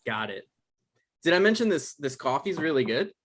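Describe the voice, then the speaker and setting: A man's voice: fairly high pitch, sing-song, moderate pace, a bit bright. A man acts out an awkward male novelist in his thirties. He is evasive and apologetic, with hesitant, stammering delivery. The comedy is played naturally, as in a table read. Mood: sheepish. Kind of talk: naturalistic comedic dialogue reading. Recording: online live-stream table read.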